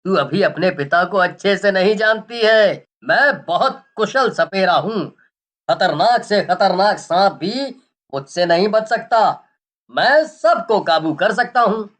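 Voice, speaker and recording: Village snake-charmer father voiced by a woman, caring, confident, slow measured speech, reassuring cartoon storybook parent. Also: medium pitch, lively intonation. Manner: reassuring, unhurried, matter-of-fact.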